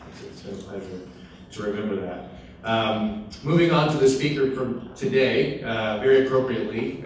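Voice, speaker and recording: A man introduces a guest lecturer in a hesitant, filler-laden way, his voice staying fairly flat. He sounds distant from the microphone in a very echoey room. Steady background noise sits under his words. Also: mid-range voice. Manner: hesitant, many fillers and restarts. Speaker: fellowship program director introducing a speaker. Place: lecture hall.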